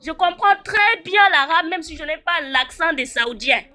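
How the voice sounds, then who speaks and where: High bright-voiced woman, assertive. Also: animated. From Chad. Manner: assertive, rapid-fire citing of sources. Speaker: debate participant. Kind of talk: argumentative live debate.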